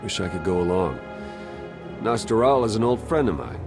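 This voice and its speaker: An actor playing a loyal, wistful companion of a commander in a video game. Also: male voice, fairly low pitch, big pitch swings, moderate pace, gravelly. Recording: background music under the voice.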